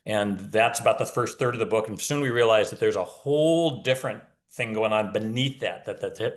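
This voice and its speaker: A man talking casually as an author guest, explaining point by point with wry humor. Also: on the low side. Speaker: novelist, podcast guest. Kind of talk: casual interview talk.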